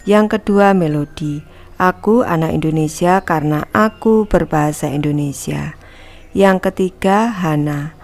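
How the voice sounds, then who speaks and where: Woman teacher, lowish voice, explaining a lesson to children, soft music behind. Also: measured pace. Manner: calls herself Ibu and addresses the class as anak-anak. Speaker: primary school teacher.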